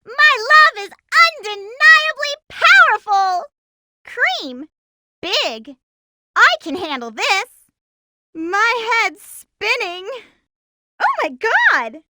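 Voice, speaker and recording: A woman playing a cute, feisty, lovestruck young hedgehog girl in an animated video game, with a girlish voice and a perky, sassy manner. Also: very high voice, no echo, clean studio sound, heavily acted. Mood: excited, lovestruck, then encouraging, then alarmed. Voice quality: girlish, bright.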